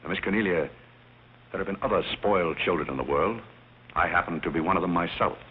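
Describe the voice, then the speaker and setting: An actor playing a composed, wise, courteous butler who speaks with measured, understated politeness in a 1930s-style film comedy. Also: male voice, sits low, breathy edge. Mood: gently earnest. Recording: tinny, hissy old film soundtrack.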